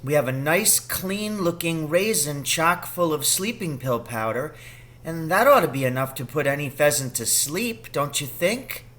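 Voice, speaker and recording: A man's voice: fairly high pitch, expressive, unhurried, a bit bright, pitched above the performer's own voice. A man voicing an eager, earnest young boy in a storybook, questioning and pleading with his father.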